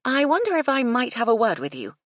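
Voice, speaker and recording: Composed lady in charge played by a woman reader, polite authority, slightly low and gravelly, storybook dialogue. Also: lively intonation, normal speed, no room sound, highs cut off, heavily acted. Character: composed, kindly but firm English lady in charge.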